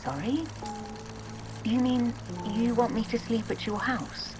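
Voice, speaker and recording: A woman's voice: fairly high pitch, slow, music in the background. A woman playing an earnest, uneasy child therapist in a video game. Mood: gentle, probing.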